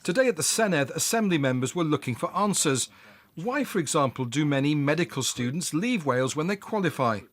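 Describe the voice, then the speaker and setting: A man's voice: on the high side, animated, a little fast. A man working as a news reporter, delivering a scripted report.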